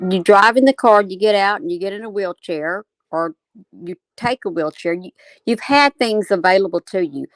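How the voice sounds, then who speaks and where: A woman's voice: lowish voice, no echo. A woman giving opinionated commentary, speaking as if straight to the person she discusses. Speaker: commentary video co-host.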